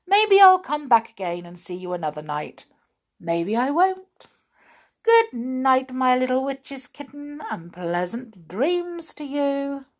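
A woman's voice: high voice, sing-song, slow pace, pitched above the performer's own voice, full character voice. Woman putting on a sly wheedling hobgoblin. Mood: teasing. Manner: sly, coaxing, teasing. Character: mischievous wheedling male hobgoblin.

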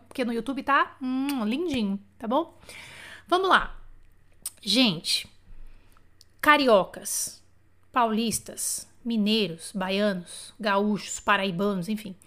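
A woman's voice: high-pitched, fairly bright tone. A woman from Brazil teaching French in a live-streamed lesson, chatty and checking in with viewers with frequent "tá?". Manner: chatty, asks for feedback with frequent "tá?". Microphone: live stream setup.

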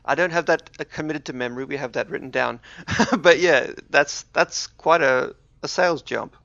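A man's voice: on the high side, moderate pace, vocal fry, fairly bright tone. A man co-hosting a gaming podcast, chatting casually in a rambling, conversational way. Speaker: podcast co-host. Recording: dull, roomy sound.

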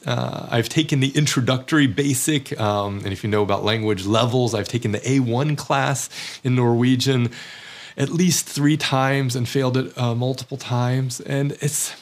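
An American man talking in a candid, self-deprecating way, with many fillers and restarts, about his own experiences as a teacher living abroad. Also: medium pitch. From United States. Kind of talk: reflective personal monologue.

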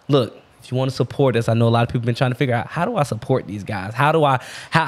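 A man hosting a podcast, talking in a hyped, slangy way and laughing easily. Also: medium pitch. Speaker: podcast host. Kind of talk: casual podcast chat.

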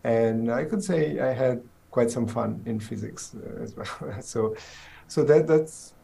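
A man's voice: mid-range voice, a little slow, creaky, dark tone. A middle-aged man from southern Italy with an Italian accent, a theoretical physicist answering interview questions thoughtfully, with many restarts.

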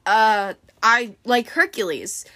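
A woman voicing a polite young boy hero, hesitant and halting, in a storybook reading. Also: fairly high pitch, pushed and bright. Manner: halting, unsure.

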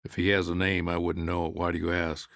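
A big, suspicious country sheriff in a literary story, voiced by a male reader. He speaks low and rough with fry, folksy but blunt and stern. The sound is close and dry. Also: monotone, brisk, fairly bright tone, no echo. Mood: guarded.

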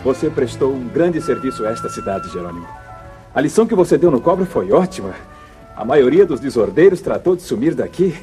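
Um ator brasileiro interpretando um banqueiro de cidade pequena, cortês e preocupado, em radionovela de faroeste dos anos 1950. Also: male voice, medium pitch, big pitch swings, fairly quick, natural voice. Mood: grateful. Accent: Brazilian. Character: anxious, courteous small-town banker, adult man. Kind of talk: 1950s radio western acting. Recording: old radio drama with music behind.

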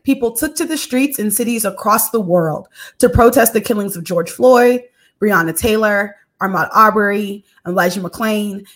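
A young woman from Texas hosts a podcast and speaks in an earnest, measured way. Her voice sits in an ordinary middle register, and she leans on small fillers between her thoughts. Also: medium pitch. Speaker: podcast host. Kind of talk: podcast interview.

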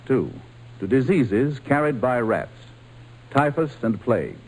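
A man with a medium-pitched, very expressive announcer's voice. He reads scripted sponsor copy in a formal, measured, emphatic 1940s radio style. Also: rough recording.

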